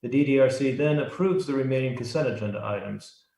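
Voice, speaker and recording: A man with an American accent and a medium-pitched voice chairs a public meeting. He reads the rules in a formal, scripted way. The sound is noticeably roomy and echoing, as on an online meeting. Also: quick pace, gravelly, darkish tone. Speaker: chair of a city review commission.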